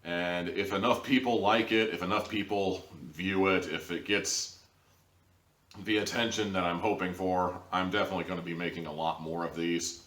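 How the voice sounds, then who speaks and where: A man's voice: low-pitched, steady tone, moderate pace, a bit bright. A young man giving a casual, rambling review to camera in an informal way, with some theatrical emphasis. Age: young adult. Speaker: reviewer, show host. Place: small living room, indoors. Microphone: camera a few feet away. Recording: roomy echo, distant camera mic.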